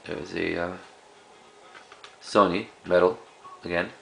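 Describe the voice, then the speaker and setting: Slow, low-voiced man, gravelly monotone. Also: faint music behind. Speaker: cassette collector presenting a collection. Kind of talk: casual unscripted show-and-tell.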